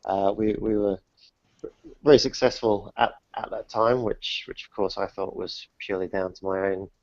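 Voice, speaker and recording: A man's voice: lowish voice, steady tone, normal speed, a little mellow, no room sound. A man in his thirties who grew up in the UK, answering interview questions in a casual, hesitant way with many restarts. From New Zealand, grew up in the UK. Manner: hesitant, many restarts and fillers, self-deprecating. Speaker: guest entrepreneur. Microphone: remote call, dull lo-fi and dry. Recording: dull dry online call audio.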